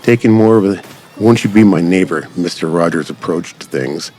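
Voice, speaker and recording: A man's voice: low voice, moderate pace, a little mellow, slight background noise. A man giving casual, unscripted commentary as host of a gaming channel, rambling along in long run-on sentences. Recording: music over the voice. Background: music.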